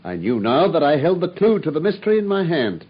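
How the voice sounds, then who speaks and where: An actor playing a coolly brilliant detective, explaining his deductions in a precise, measured way. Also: on the high side, very animated, husky. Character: coolly brilliant, self-assured male detective. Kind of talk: 1950s radio drama acting. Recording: dull lo-fi old radio broadcast.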